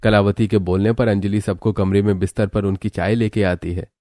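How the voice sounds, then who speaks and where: A man's voice: lowish voice, little pitch movement, fairly quick, warm tone, no room sound. A man narrating a scripted cartoon story as its storyteller.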